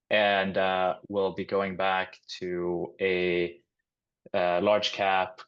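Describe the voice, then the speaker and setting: Flat low-voiced young man, lo-fi call. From Sweden. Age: young adult. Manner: measured, hesitant with "uh". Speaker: panelist, MBA student. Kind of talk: self-introduction on a video call. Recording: dull lo-fi call audio.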